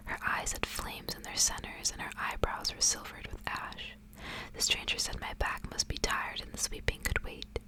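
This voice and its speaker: A woman reading a literary tale aloud for ASMR in a hushed, unvoiced whisper. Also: moderate pace, low-quality recording. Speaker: ASMR reader.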